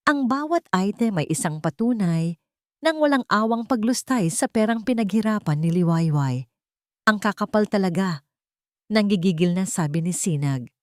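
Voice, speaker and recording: A woman narrating a scripted dramatic story in a measured delivery. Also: medium pitch, expressive, a little mellow, no echo, studio quality.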